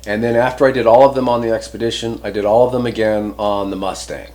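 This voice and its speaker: Male hobby host, lowish pitch, off-the-cuff and rambling delivery, dryly understated. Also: flat delivery, quick pace, darkish tone. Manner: rambling, hesitant, informal. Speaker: host of a CB radio hobby channel. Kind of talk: casual product test walkthrough.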